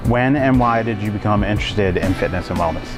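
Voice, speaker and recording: A man with a lowish voice fires off short interview questions at a quick clip. He sounds a little distant from the mic, with faint music underneath.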